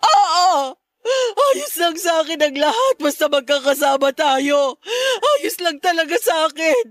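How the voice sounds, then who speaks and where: Very high, pleading heartbroken Filipino mother. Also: animated, measured pace, no echo, studio quality. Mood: desperate, pleading. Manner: pleading, emotional. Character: abandoned, clinging, heartbroken mother in a radio drama.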